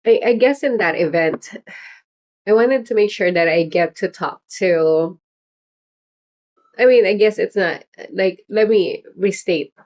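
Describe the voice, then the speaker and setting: A woman's voice: mid-range voice, measured pace, long pauses, darkish tone. A middle-aged woman hosting a casual livestream as a political candidate, talking in a chatty, rambling way with lots of fillers and little laughs. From Philippines heritage.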